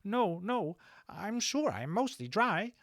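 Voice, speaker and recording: A man's voice: high voice, big pitch swings, slow pace, fairly bright tone, dry recording, studio quality, voice pushed up, exaggerated voice. A man voicing a small, timid male dragon who pleads anxiously and meekly in an audiobook. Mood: anxious pleading. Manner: pleading, placating.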